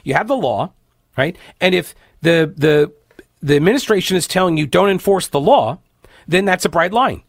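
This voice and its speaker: Male talk radio host, raspy and congested, sarcastic and opinionated, slightly high voice, dry studio sound. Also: lively intonation, normal speed, no room sound. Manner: sarcastic, opinionated, reads news aloud with asides. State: raspy, stuffed-up voice from a cold. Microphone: studio microphone, dry.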